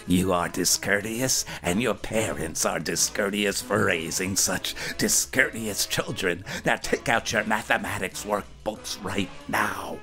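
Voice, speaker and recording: Slow, very low-voiced stern old teacher. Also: male voice, bright, forceful tone, faint music behind. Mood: stern scolding. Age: older. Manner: formal, scolding, deliberate. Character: stern, scornful elderly schoolteacher with fifty-five years of teaching. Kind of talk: radio drama acting.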